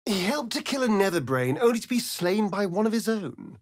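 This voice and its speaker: A man's voice: high voice, very animated, fairly quick, dry recording, studio quality. A man playing a vain, sardonic aristocratic vampire rogue in a video game, with a British accent and an arch, theatrical drawl. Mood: indignant. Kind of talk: video game character acting.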